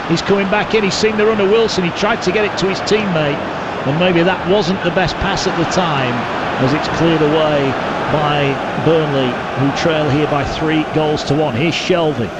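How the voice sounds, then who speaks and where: A man calling live football on radio, brisk play-by-play that rises with the action. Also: on the high side. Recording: broadcast with loud stadium noise behind.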